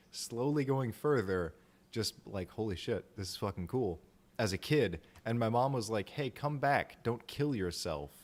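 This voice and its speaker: Lower-voiced man in profane livestream banter. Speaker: improv show streamer. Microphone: remote video call on Zoom.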